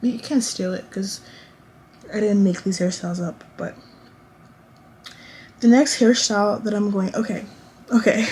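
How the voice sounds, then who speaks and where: A woman's voice: medium pitch, darkish tone, slight background noise. A woman in her late teens chatting casually to camera in a college dorm room, rambling with lots of restarts and fillers. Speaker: vlogger.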